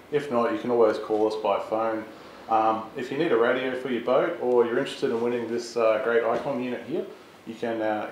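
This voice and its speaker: An Australian man in his thirties giving an informal, plain-spoken instructional presentation, explaining things step by step. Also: mid-range voice. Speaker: volunteer coast guard instructor presenting a video. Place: boat on water, open garage, radio room. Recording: roomy echo, clear ambient noise.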